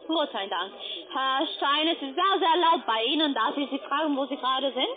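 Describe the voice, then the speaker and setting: Female telemarketer, fairly high pitch, polite sales chat over a narrow phone line. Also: expressive, normal speed. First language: not German. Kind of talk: sales call. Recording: tinny telephone line.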